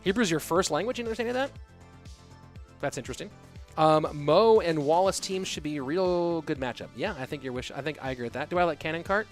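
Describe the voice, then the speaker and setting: A man's voice: a little high, fast talker, soft background music. Laid-back male esports streamer. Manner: relaxed, rambling, answers viewer questions. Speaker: esports caster reading chat. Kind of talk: casual livestream chat.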